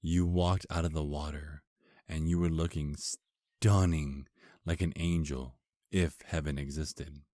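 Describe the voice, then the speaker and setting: Guy doing a vampire husband for romance asmr, super low voice, tender n teasing, real close dry sound. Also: steady tone, dark tone, dry recording. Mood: dreamy, playful. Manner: tender, flirty, slow and murmured. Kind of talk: intimate ASMR romance roleplay.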